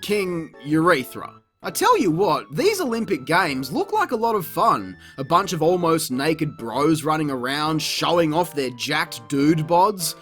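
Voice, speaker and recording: A man's voice: on the high side, sing-song, measured pace, few pauses, fairly bright tone, soft background music. A man narrating a scripted comedic review in a sarcastic, crude, mocking manner. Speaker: video reviewer.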